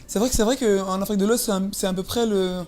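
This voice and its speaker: Halting young woman being interviewed, medium pitch. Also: fairly even intonation, a little mellow. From Paris, France. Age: about 30. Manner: halting, repeats words as she searches for phrasing. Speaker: interview guest. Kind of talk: casual interview conversation.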